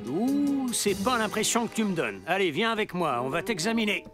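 Kindly cartoon doctor talking down to a kid, very lively pitch, music behind. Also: male voice, normal speed. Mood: soothing, amused. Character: kindly adult male doctor, gently patronising. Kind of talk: animated cartoon dialogue.

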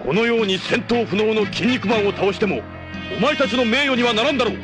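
A man's voice: high voice, fast talker, close to an everyday voice. A man voicing a righteous superhero wrestler in anime, declaiming a heroic challenge. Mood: defiant. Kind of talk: anime acting. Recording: loud music behind anime dialogue.

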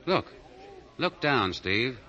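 An actor playing a cool, wry convict with a laconic manner in 1940s radio style. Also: male voice, mid-range voice, very animated, slow pace, heavy background noise. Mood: brooding. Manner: laconic, understated. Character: cool, wry, smooth-talking condemned convict. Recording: dull 1940 radio broadcast recording.

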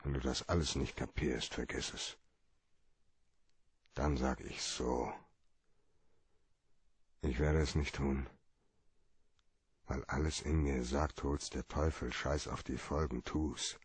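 Hard-boiled cynical private eye for radio drama: very low, gravelly, blunt, argumentative. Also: male voice, steady tone, takes it slowly, pauses often, no echo, heavily acted. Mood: grim, resigned. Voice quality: low, rough-edged voice. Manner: blunt, argues point by point. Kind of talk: radio play acting.

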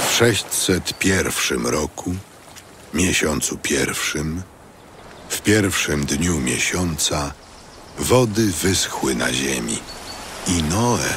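Male scripture narrator: bottomless bass register, slow, solemn delivery, audible ambient effects behind. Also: noisy background. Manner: solemn, measured.

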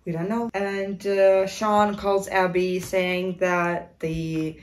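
A woman's voice: mid-range voice, level tone, slow. A woman in her twenties giving a casual, exasperated and sarcastic film review to camera in a room. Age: 20s. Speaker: video commentator. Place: small room indoors.

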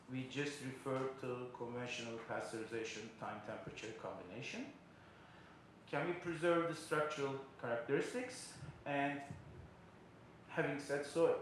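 A man's voice: medium pitch, a little hiss or hum. A middle-aged man giving a keynote lecture at a podium microphone, explaining step by step with frequent fillers. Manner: explanatory, frequent uh fillers, non-native phrasing. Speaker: keynote speaker. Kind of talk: conference lecture. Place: large conference hall, indoors. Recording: very echoey hall with faint ambience.

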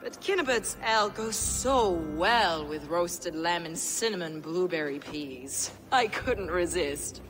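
An actress playing a smug, fanatical villain in a video game, mocking and theatrical with false gentility. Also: female voice, fairly low pitch, lively intonation, takes it slowly, few pauses, fairly bright tone, long reverb tail, soft background music. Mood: mock-genteel. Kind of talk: dramatic video game acting.

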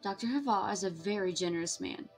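A woman playing a calm, formal scientist doctor in an audio drama, courteous but guarded. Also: mid-range voice, soft background music, lightly acted. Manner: measured, formal. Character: calm, courteous female dinosaur-breeding doctor, official and guarded.

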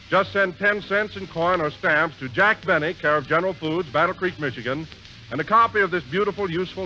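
A man announcing a scripted radio commercial in a hearty, enthusiastic pitchman manner. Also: bright, forceful tone. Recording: muffled 1940s radio broadcast with music.

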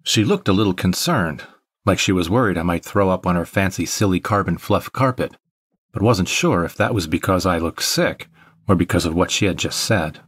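Deep-voiced man narrating a story. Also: normal speed, a bit bright, slightly rough, no room sound, clean studio sound. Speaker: narrator of an audio drama. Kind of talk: first-person scripted narration.

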